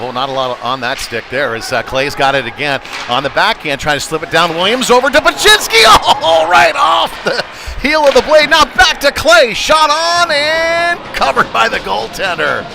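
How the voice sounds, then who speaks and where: A man's voice: sits high, expressive, normal speed, gravelly, noisy background. A man calling a live ice hockey game in an informal, conversational play-by-play style. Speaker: play-by-play sports commentator. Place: sports rink during a live game. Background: loud arena music.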